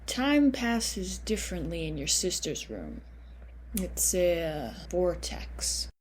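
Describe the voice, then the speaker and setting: A woman's voice: lowish voice, sing-song, slow pace, slight background noise, low-quality recording. A woman playing an eerie, spaced-out visitor in an audio play about a haunted house. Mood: spooky, spacey.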